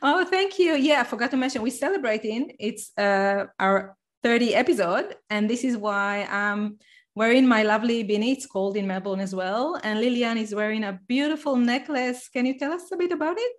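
A woman's voice: on the high side, normal speed. Eager woman co-hosting a podcast. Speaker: podcast co-host. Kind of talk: casual chat.

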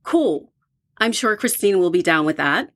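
An actress in her twenties playing an easygoing young roommate in an audio drama, speaking in a relaxed, offhand way. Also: fairly low pitch, a little slow. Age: 20s.

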